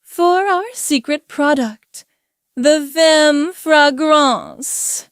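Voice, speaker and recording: Storybook showroom hostess, voiced by a man: slow, high, purring, teasing and in command. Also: fairly bright tone, dry recording, studio quality, heavily acted. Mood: showy. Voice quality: smooth. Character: glamorous smooth commanding saleswoman, mischievous and teasing.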